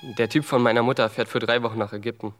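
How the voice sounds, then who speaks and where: A man's voice: mid-range voice, fairly even intonation, fast talker, faint music behind, natural voice. A man playing a brusque, domineering teenage boy in a radio drama, curt and dismissive. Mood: gruff, impatient.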